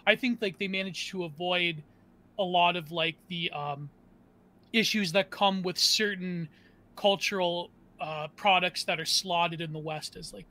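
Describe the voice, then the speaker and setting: A man with a high, bright, forceful voice chats as a panel guest. He rambles from thought to thought, packing his sentences with "like". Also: a little slow. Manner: rambling, constant "like". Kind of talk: casual panel discussion.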